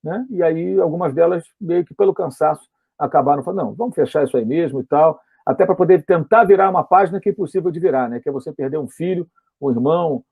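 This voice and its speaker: The host of a live stream is a man with a high, warm, husky voice. He keeps a steady, conversational pace throughout. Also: quick pace.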